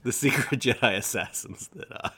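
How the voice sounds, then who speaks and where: A man co-hosting a podcast, chatting casually in an excitable, rambling way. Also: mid-range voice, normal speed, breathy edge. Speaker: podcast co-host. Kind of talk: casual group chat.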